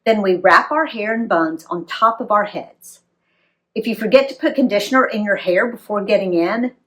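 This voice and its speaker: An American woman reads a travel memoir aloud in an animated, dramatic storytelling style for young readers. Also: mid-range voice. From United States.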